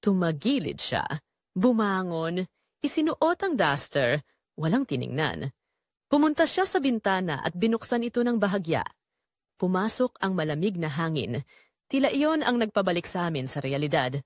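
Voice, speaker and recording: A woman narrating as a brooding, guilt-torn man, in slow, deliberate, confessional dramatic storytelling. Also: lowish voice, very animated, no echo, clean studio sound. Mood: brooding, intimate. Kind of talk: scripted first-person dramatic narration.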